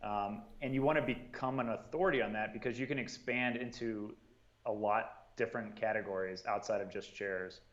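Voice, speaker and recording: A man in a casual podcast discussion, handing out advice in a relaxed way with frequent "um"s and "you know"s. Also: on the low side, normal speed, dull audio. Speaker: guest, marketing and sales coordinator.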